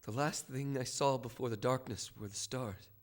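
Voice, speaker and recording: An actor playing a haunted, defiant adult son in a live radio drama, telling his story intensely and haltingly. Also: medium pitch, close to an everyday voice. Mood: quiet, bitter. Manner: halting, repetitive, intense recounting. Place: stage before a studio audience.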